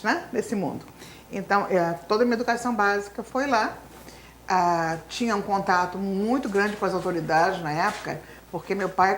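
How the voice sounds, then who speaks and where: Woman in her fifties, Spanish native, reminiscing guest with a mid-range voice and faint background noise. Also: mid-range voice. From Brazil. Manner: long storytelling, lots of fillers like né and então, assim. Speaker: retired professor, interview guest. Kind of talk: reminiscing life story in an interview.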